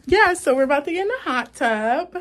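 A woman's voice: high-pitched, slight background noise. A young woman in her twenties chatting casually to her phone camera, rambling with fillers. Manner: slow, relaxed, rambling with fillers. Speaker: vlogger. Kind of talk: casual vlog chat. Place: small cabin rental indoors. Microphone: phone held at arm's length.

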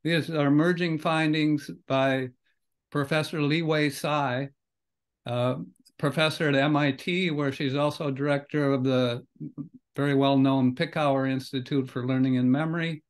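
A man's voice: fairly high pitch, fairly even intonation, unhurried, clean tone. An older man hosting a podcast over a video call from a home study, speaking conversationally with loose phrasing and hedges. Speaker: podcast host. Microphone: video call webcam.

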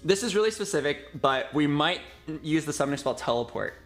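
A man's voice: a little high, lively intonation, fairly quick, a bit bright. Casual young male streamer over background music. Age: 20s. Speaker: streamer directing a voice recording session. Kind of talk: casual chat, giving directions. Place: indoor streaming room.

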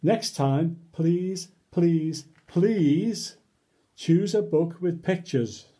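A man reads a comic children's story aloud in a dark, mellow voice that swings widely in pitch. He takes his time, with theatrical expression and mock-reluctant asides. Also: animated, drawn-out words.

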